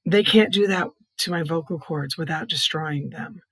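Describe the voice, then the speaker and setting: Soft, hoarse older woman. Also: sits low, normal speed, no room sound. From Iowa, United States. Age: middle-aged or older. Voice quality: soft, hoarse, breathy voice from vocal cord growths. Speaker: patient advocate, child care nurse consultant. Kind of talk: personal testimony, explanatory talk.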